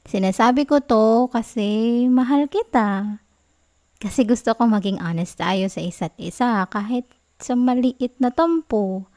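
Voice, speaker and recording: A woman with a Filipino accent playing a clingy, affectionate, playfully sulky girlfriend in an intimate ASMR roleplay, soft, cooing and teasing. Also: a little high, measured pace, close to an everyday voice. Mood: tender, honest.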